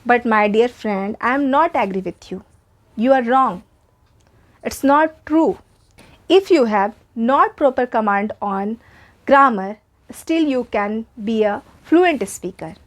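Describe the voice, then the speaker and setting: A woman's voice: on the high side, animated, takes it slowly. A young woman with an Indian English accent whose first language is Hindi. She gives an earnest, encouraging spoken English lesson and repeats her points for emphasis. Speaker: English teacher.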